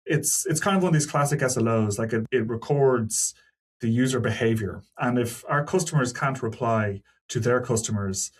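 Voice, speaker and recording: A man with a medium-pitched voice talks as a technical guest in an interview. His delivery is informal and discursive. He qualifies his points and drops in asides as he explains. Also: normal speed. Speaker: podcast guest, senior software engineer. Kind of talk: casual technical interview talk.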